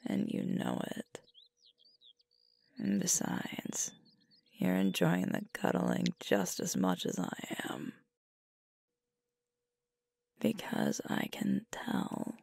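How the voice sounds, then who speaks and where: A woman's voice: rumbling low, huge pitch swings, darkish tone, dry recording, heavily acted. A woman playing a sweet, sleepy, affectionate girlfriend in an intimate ASMR roleplay, murmuring tenderly close to the microphone. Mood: affectionate, gently teasing. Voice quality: breathy, whispery. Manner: slow, drowsy, tender murmuring. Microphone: close microphone, dry.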